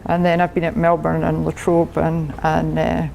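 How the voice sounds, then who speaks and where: A woman's voice: low voice, monotone, moderate pace, creaky, a little mellow, slight background noise. An older Scottish woman, a professor, giving a chatty technical talk to a club, laughing often and restarting her sentences. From Scotland. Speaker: guest speaker, professor.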